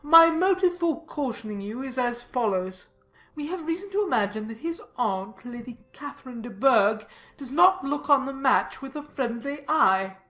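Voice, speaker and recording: Woman playing pompous clergyman, rather high voice. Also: animated, fairly bright tone, soft background music. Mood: cautioning. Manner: pompous, formal, deliberate emphasis. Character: pompous, sanctimonious clergyman reading out his letter. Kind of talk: dramatised audiobook reading.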